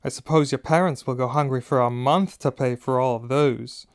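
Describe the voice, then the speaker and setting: A man's voice: mid-range voice, fairly even intonation, moderate pace, smooth, no room sound, clean studio sound, natural voice. Man doing a snide, drawling schoolboy bully. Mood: taunting. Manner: drawling, taunting.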